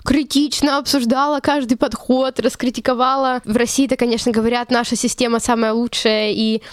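A woman's voice: on the high side, little pitch movement, fairly quick, no room sound, studio quality. A young woman from Russia, a journalism student, telling her story as an interview guest in a chatty way, full of fillers and restarts. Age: young adult. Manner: chatty, lots of fillers and self-corrections.

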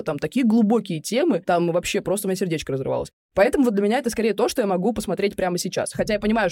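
A young woman chats quickly as a podcast co-host, recorded close and dry. Her talk is informal and full of slang, like telling stories to friends. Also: mid-range voice, dark tone, no room sound. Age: young adult. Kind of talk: casual chat.

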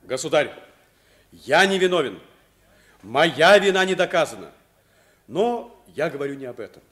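A man's voice: on the high side, sing-song, a little slow, fairly bright tone. An actor playing a noble, loyal accused man, declamatory and impassioned in a Soviet radio play. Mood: impassioned pleading.

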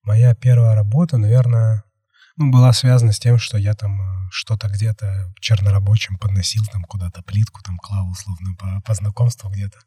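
A young man with a very husky, dark and mellow voice, talking low. He hosts a laid-back podcast chat, wandering through his points with lots of fillers. The sound is dry and close. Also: little pitch movement, moderate pace, dry recording. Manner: rambling, full of fillers and hedges. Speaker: podcast host.

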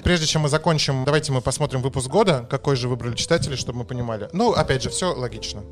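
A man hosting a podcast, upbeat and brisk as he leads the conversation and signs off. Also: medium pitch, faint music behind. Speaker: podcast host. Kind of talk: casual podcast chat.

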